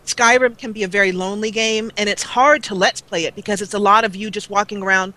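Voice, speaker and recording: Woman guest, bright tone, animated and rambling, live call-in chat. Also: medium pitch, quick pace, low-quality recording. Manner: animated, laughs often, rambling restarts. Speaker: guest, let's player. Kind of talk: casual live interview call. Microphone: call-in line.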